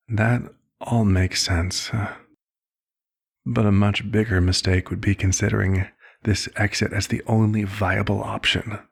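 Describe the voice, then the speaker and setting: A man playing an old, compassionate vampire doctor in an ASMR roleplay, with a husky, gravelly voice and a measured, slightly formal, paternal manner. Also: on the low side, heavily acted. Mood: gentle concern.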